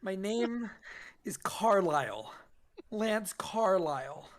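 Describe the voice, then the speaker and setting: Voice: mid-range voice, animated, takes it slowly. A game master playing a stern male wizard instructor who sounds dry and exasperated.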